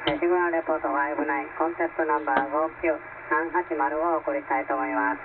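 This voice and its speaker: Male ham operator, polite contest procedure, slightly high voice, distant and buried in radio noise. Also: steady tone, rapid-fire. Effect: shortwave radio transmission. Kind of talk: ham radio contest exchange with phonetic call signs. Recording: noisy, narrow shortwave radio reception, distant.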